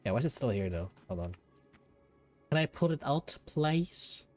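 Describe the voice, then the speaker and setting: A man's voice: medium pitch, big pitch swings, normal speed, a little mellow. A man giving casual, slangy, sometimes profane commentary as he streams a video game. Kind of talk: casual gameplay reaction commentary. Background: game music.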